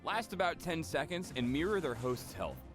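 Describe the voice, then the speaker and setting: A man's voice: on the high side, animated, moderate pace. A man narrating a scripted promotional game guide, a polished explainer with playful phrasing. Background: prominent music.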